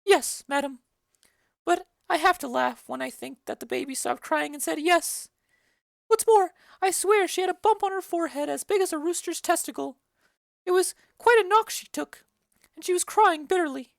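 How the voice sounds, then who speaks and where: A woman voicing a chattering, bawdy old female nurse in a dramatic reading of a play, rambling and loud. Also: extremely high pitch, moderate pace, vocal fry, dry recording, studio quality. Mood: gossipy. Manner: loud, rambling, giggly storytelling.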